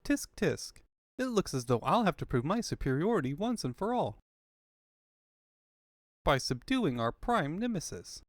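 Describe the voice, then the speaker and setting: A man's voice: on the high side, sing-song, measured pace, pauses often, no room sound, clean studio sound. A man voicing a small, pompous genius fox boy with wordy, pedantic speech for a comic fandub. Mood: condescending. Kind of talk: comic fandub character acting.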